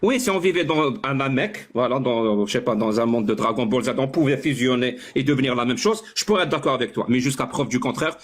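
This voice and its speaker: A man's voice: mid-range voice, animated, quick pace, few pauses. A man arguing in a heated online debate, insistent and pressing, often stumbling over and repeating words as he pushes his point. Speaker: debate participant.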